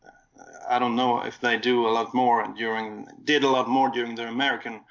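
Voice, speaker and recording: A man from Sweden with a Swedish accent, chatting casually and a little haltingly as he recounts things as a guest. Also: mid-range voice, little pitch movement, fairly bright tone, lo-fi sound. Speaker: guest recounting a concert he attended. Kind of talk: casual conversation.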